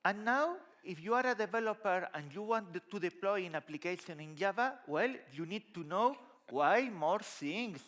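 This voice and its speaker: A middle-aged man from Spain with a Spanish accent, giving a lively conference talk on a large stage with a headset mic. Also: high voice, normal speed, hardly any pauses. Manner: lively, conversational, gesturing while walking the stage. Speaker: conference speaker.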